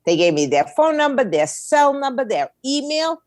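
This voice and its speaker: A woman's voice: high voice, sing-song, normal speed, a little mellow, no room sound, studio quality. A woman chatting casually as an interview guest, blunt and candid, telling stories by quoting people. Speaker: interview guest, indie label owner.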